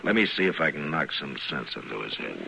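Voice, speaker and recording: An actor playing a steady, dry-humored, authoritative marshal in a radio western, laconic and unhurried. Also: male voice, very deep voice, gravelly, full character voice. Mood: concerned. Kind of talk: old-time radio western acting. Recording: crackly, noisy old radio recording.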